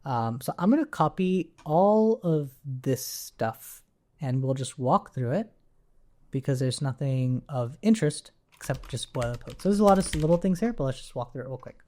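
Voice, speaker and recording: A man's voice: mid-range voice, expressive, normal speed, a little mellow. Man giving an informal coding tutorial. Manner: conversational, explanatory, often checking with "right?". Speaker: coding instructor. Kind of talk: informal technical tutorial walkthrough.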